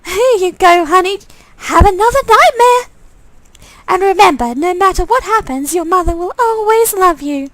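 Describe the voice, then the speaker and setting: A woman's voice: extremely high pitch, animated, moderate pace, a bit bright, no echo, pitched above the performer's own voice, rough recording. A woman voicing a doting, unsettlingly cheerful middle-aged mother in a game, fussing sweetly and gushing fondly. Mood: sweetly sinister.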